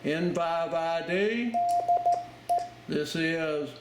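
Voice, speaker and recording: Guy doing a slow step by step radio tutorial, kinda halting, really noisy echoey recording. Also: fairly high pitch. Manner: halting, explains piece by piece while demonstrating. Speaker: amateur radio instructor. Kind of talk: informal instructional narration over a demonstration. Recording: roomy, loud noise, radio clicks and tones.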